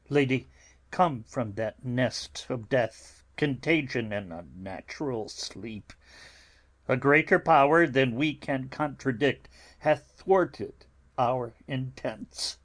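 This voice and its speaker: Man playing grieving friar, very slow halting delivery, husky voice, theatrical Shakespearean drama, anxious lament. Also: medium pitch, expressive. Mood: urgent pleading. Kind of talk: theatrical Shakespearean audiobook acting.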